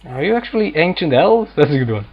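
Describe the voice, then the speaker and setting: A man's voice: fairly high pitch, very animated, quick pace, dull audio. A man giving casual let's-play commentary as a video game player, musing aloud in an offhand manner. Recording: game music behind the voice. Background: video game music.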